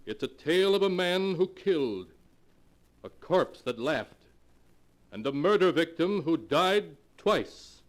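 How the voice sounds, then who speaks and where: An actor playing a theatrical, portentous teller of sinister tales, hosting a 1940s radio horror show. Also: male voice, on the high side, lively intonation, slow pace. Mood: excited, theatrical. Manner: dramatic, portentous. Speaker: narrator and host of horror anthology. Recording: vintage 1940s radio broadcast.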